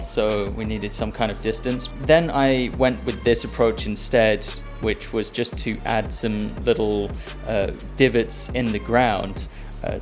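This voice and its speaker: A man hosting an animation channel, giving a measured, explanatory voice-over about his process. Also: fairly low pitch, darkish tone. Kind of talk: scripted video voice-over with process commentary. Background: music.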